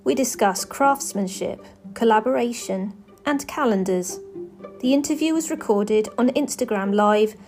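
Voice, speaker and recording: A woman hosting a podcast, introducing and interviewing in a clear presenting role. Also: fairly high pitch, unhurried, a little mellow, faint music behind.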